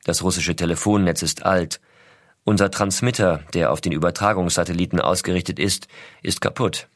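A man plays an astronaut father narrating letters to his small son. His low voice is measured, reflective and affectionate, recorded dry and close. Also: fairly even intonation, no room sound. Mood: matter-of-fact. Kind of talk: scripted first-person letter narration.

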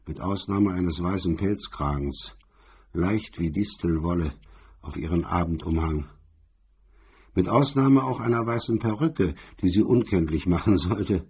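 Very husky, dark-voiced male narrator. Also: deep voice. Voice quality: husky, rough. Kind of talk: scripted literary crime narration for radio drama.

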